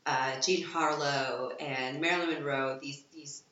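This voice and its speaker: A woman from Texas, a burlesque performer, chatting as an interview guest with enthusiastic bursts of excitement. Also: rumbling low, a little slow. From Texas, USA. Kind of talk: casual interview chat. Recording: echoey room, dull lo-fi.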